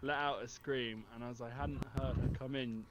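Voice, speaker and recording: A man's voice: medium pitch, steady tone, moderate pace, dull audio. A man reading a humorous written review aloud as a storyteller. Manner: often breaking into laughter. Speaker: host. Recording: very noisy mic with clicks.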